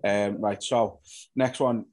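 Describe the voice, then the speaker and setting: A man's voice: lowish voice, fairly even intonation, slow, darkish tone. Chatty man co-hosting. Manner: rambling, chatty, hesitant fillers. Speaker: co-host. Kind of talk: casual chat over video call. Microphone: video call.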